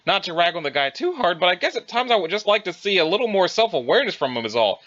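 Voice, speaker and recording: Bumbling, self-absorbed young male adventurer played by a man, wordy and folksy, bright animated delivery for audio drama. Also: sits high, fast talker, dull audio. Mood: rambling, fretful. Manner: wordy, old-fashioned folksy exclamations, bumbling. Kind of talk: dramatized dialogue reading.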